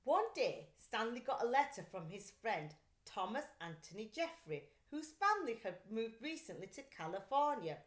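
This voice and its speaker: A woman reads a children's story in a fairly high, very animated voice. Her phrasing is deliberate and expressive, like a teacher's. The room she records in is echoey. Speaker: teacher reading aloud.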